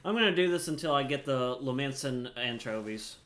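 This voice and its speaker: A man's voice: mid-range voice, expressive, fairly quick, a bit bright. A man giving casual gameplay commentary, explaining things informally and thinking aloud as he plays. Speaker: gamer, streamer explaining gameplay.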